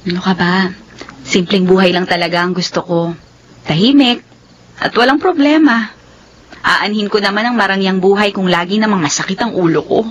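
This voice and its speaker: A woman playing a gentle young city woman who longs for a simple life, in a Filipino radio drama. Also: mid-range voice, moderate pace, natural voice. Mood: wistful, relaxed.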